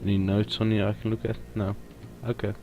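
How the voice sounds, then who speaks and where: A man's voice: fairly low pitch, flat delivery, fairly quick, phone-quality sound. A man giving casual let's play commentary, reading text aloud and stumbling over technical words. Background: faint video game music.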